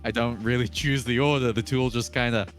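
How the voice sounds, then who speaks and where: A man talking casually on a podcast in a halting, conversational storytelling way. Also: medium pitch, steady tone, soft background music. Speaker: podcast panelist. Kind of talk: casual podcast anecdote and chat.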